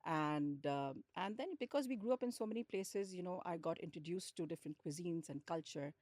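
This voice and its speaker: A woman's voice: lowish voice, brisk, darkish tone, dry recording, studio quality. A woman with an Indian accent, talking conversationally and telling stories as a guest in an interview. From India, grew up in Lucknow and Hyderabad. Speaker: podcast guest, hospitality executive.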